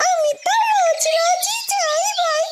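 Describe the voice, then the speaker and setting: A woman's voice: very high-pitched, fairly even intonation, measured pace, heavy background noise, voice pushed up. A woman voicing a cheerful, teasing little talking bird in a fairytale cartoon.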